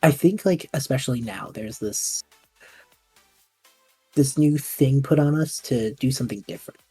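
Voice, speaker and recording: A man with a husky, relaxed voice hosts a casual podcast chat. He talks haltingly and reshapes his questions mid-thought, recorded close and dry with music underneath. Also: mid-range voice, no echo. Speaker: podcast host interviewing a guest. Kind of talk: casual podcast chat about tabletop roleplaying shows.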